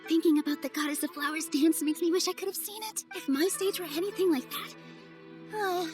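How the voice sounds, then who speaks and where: An actress playing a kind, graceful young festival dancer in a video game, speaking softly and earnestly. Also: female voice, very high voice, brisk, faint music behind. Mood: wistful. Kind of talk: video game character voice acting.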